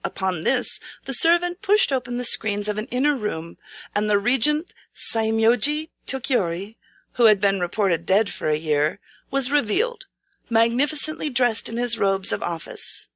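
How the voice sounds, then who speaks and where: A woman reading a scripted storybook tale as narrator, in a measured, formal, dramatic manner. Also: fairly high pitch, very animated, a bit bright, dry recording. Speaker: audiobook narrator.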